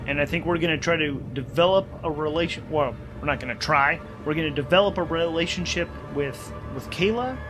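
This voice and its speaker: A man's voice: a little high, a little fast, few pauses. A man working as game master and host of a solo tabletop game, thinking aloud as he narrates rules and story. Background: music.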